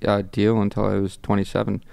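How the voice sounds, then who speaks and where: A young man from Canada as a podcast guest, laid-back and halting. His voice sits low with a gravelly texture. The mic is close and dry. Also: completely flat delivery, a little mellow, no echo. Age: 20s. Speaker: guest musician. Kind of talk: casual interview chat. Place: dark podcast studio. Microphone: studio mic on boom, headphones.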